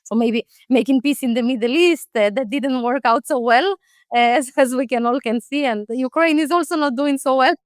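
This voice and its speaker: Woman in her 30s, kinda high smooth voice, chatty with lots of "like" and "uh", dry sound. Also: normal speed, no echo. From Israel. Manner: chatty, many fillers and restarts. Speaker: guest, company founder. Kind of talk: casual interview answers.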